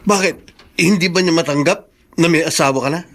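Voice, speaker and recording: A man's voice: fairly high pitch, a little fast, a bit bright. A man acting a frank, concerned best friend in a Filipino radio drama, questioning bluntly but carefully. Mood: probing.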